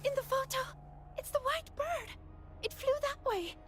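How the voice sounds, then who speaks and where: A woman voicing a sweet, excitable young female student in a video game, speaking softly and earnestly. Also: very high voice, moderate pace, music in the background. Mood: excited.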